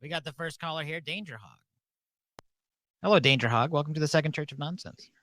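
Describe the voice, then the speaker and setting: A man's voice: a little high, a little fast, pushed and bright, dry recording. A man hosting a call-in show in a casual, chatty style. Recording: music behind the voice.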